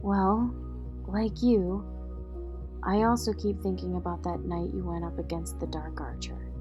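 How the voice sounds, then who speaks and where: A woman's voice: lowish voice, flat delivery, unhurried, lo-fi sound, natural voice. A woman acting a loyal, no-nonsense ally of a vigilante in an audio drama. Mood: regretful, frank. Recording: faint music behind the voice.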